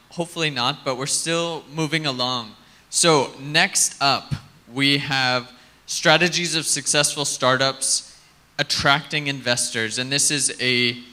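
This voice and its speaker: A man's voice: mid-range voice, bright, forceful tone. A man emceeing a tech conference on stage, chatting and joking with the audience as he introduces the next speaker. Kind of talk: live stage hosting, casual and joking. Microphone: handheld stage mic.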